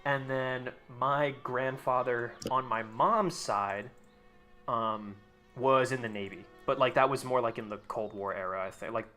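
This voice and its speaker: Young American man, mid pitch, loose rambling chat with fillers, faint music under. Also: a little slow, pushed and bright. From United States. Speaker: streamer. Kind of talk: casual chat while gaming.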